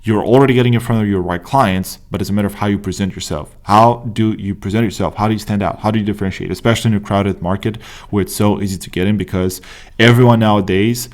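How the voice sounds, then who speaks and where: A man's voice: lowish voice, steady tone. A young man with a Romanian accent, teaching on a screen share and stressing his points with frequent "right?" and "like". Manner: emphatic, repeats "right?" and "like", quick asides. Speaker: business coach. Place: indoor room at a desk.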